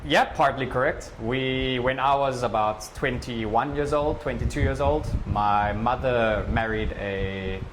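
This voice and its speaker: A man's voice: mid-range voice, fairly even intonation, unhurried, few pauses, slight background noise, rough recording. A young man from Africa, a teacher and scout leader, answering interview questions in short, plain sentences. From South Africa. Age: young adult. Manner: plain, short sentences with lots of agreeing yeahs. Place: beach outdoors.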